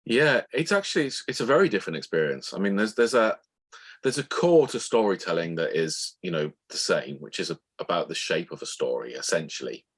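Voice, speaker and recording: A man's voice: low voice, expressive, brisk, husky. A man speaking as an interview guest, thoughtfully explaining his writing in a conversational way, often rephrasing mid-sentence. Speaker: interview guest, author of novels and audio dramas.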